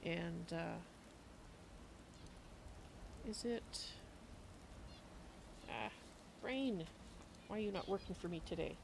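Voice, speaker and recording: A woman's voice: fairly low pitch, slow pace, gravelly, noisy background. A woman hosting a casual livestream, chatting in a rambling, self-correcting way. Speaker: streamer, host.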